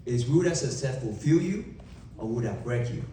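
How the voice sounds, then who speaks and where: A young man with a Vietnamese accent giving an emphatic motivational keynote to a live audience, with dramatic pauses and rhetorical questions. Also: medium pitch, lively intonation, warm tone, slight background noise. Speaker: motivational speaker. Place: large event hall. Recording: echoey hall from far off.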